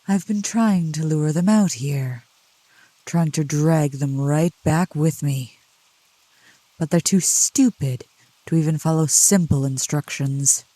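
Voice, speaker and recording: A woman playing a seductive, protective demon in a soft ASMR roleplay, speaking slowly and coaxingly in a low, gentle voice. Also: no echo. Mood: scornful. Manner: slow, deliberate, coaxing, with pet names. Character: seductive, protective female demon, soothing yet scornful of cultists. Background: rain.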